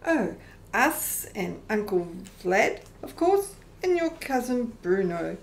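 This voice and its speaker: A woman's voice: fairly high pitch, big pitch swings, slow, exaggerated voice. A doting, chatty vampire mother played by a woman in a radio play. Her voice rises and falls a lot in a friendly, homely way. There is faint noise on the recording. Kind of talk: amateur radio play acting.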